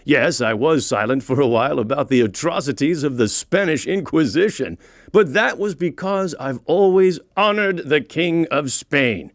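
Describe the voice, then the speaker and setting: A man's voice: on the high side, expressive, moderate pace, dry recording, clean studio sound. A man voicing a proud, indignant sixteenth-century Dutch prince in a comic audiobook, grand and mock-solemn in delivery. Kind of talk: comic audiobook monologue in character.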